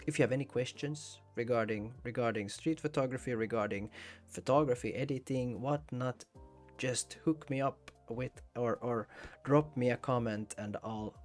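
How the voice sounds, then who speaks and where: A man from Finland with a Finnish accent, hosting a casual photography livestream and thinking aloud with hesitations and repeated words. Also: mid-range voice. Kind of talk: casual chat while editing photos.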